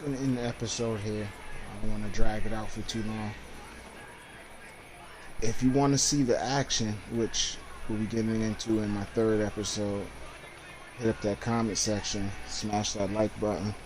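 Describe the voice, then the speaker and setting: A man's voice: fairly low pitch, fairly even intonation, normal speed. A man giving casual gameplay commentary as a video creator. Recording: clearly noisy game ambience behind. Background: game ambience.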